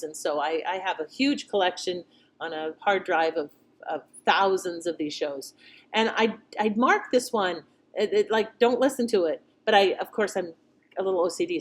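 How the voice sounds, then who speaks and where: A woman's voice: fairly low pitch, a little hiss or hum. A middle-aged woman hosting with casual, conversational and reflective commentary. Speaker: channel host. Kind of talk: casual commentary on old radio shows.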